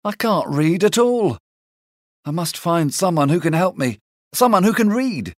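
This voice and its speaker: A woman voices a flustered male servant, anxious and pleading. The voice stays near her own pitch but swings widely. Dry, close sound. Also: very animated, a little slow, no room sound. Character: flustered male servant who cannot read.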